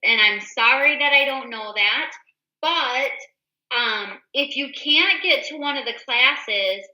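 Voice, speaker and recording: Female host, high and echoey, casual newsletter read, emphatic and direct to listeners. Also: slow, sharp tone. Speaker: show host. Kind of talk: casual co-hosted talk, reading a newsletter aloud. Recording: roomy, echoey indoor sound.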